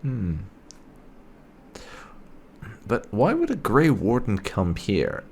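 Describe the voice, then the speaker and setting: A man with a low, creaky voice speaks in-character for a game roleplay. His delivery is cheeky and teasing, and it swings widely in pitch. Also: huge pitch swings, measured pace, a little mellow, slight background noise. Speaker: gaming channel player role-playing his character.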